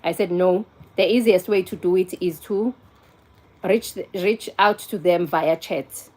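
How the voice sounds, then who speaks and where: A woman's voice: on the low side, unhurried, darkish tone. A South African woman in her forties chatting casually on a live stream, answering viewers and taking a call in between. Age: 40s. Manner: chatty, answers viewers and phone calls, switches between English and Zulu.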